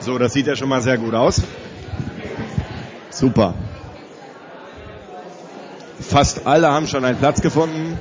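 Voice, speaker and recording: A man's voice: medium pitch, measured pace, long pauses, lo-fi sound, a crowd in the background. A man with a cold, energetically addressing a conference audience and giving them instructions. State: has a cold, slightly strained voice. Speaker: conference host for youth leaders. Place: large conference hall with audience.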